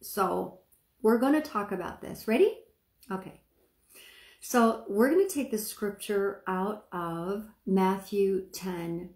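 A middle-aged woman with a soft speaking voice, preaching casually to a phone camera and checking that listeners follow her. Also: medium pitch, takes it slowly, echoey room. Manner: chatty, asks the viewer questions, stretches words for emphasis. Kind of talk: informal sermon to camera. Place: small room indoors, seated.

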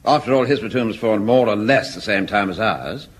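An actor playing a mild, gently ironic sergeant with a languid drawl in a radio sitcom. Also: male voice, lowish voice, brisk, natural voice. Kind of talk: 1970s radio sitcom acting. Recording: old radio recording with faint hiss.

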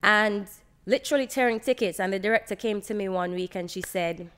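A young woman with a Jamaican accent talks casually at medium pitch. She tells stories in an animated way, acting out what people said, close to a podcast microphone. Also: fairly quick, smooth. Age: young adult. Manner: animated, acts out quoted dialogue. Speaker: podcast guest, actress. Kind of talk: casual conversational storytelling. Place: open, bright living room, on a sofa. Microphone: podcast microphone on boom arm, close.

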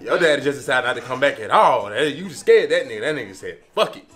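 A man's voice: mid-range voice, lively intonation, fast, fairly bright tone. A man roasting a friend in a barbershop, laughing through his insults. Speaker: customer in a barbershop sketch. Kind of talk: scripted comedy banter. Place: barbershop, indoors.

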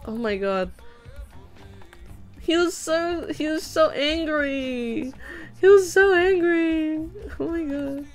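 A woman's voice: high voice, animated, takes it slowly, pauses often, faint music behind. A young woman whose first language was Vietnamese, chatting casually and rambling with lots of fillers and restarts while she animates at home. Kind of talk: casual chat. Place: home room.

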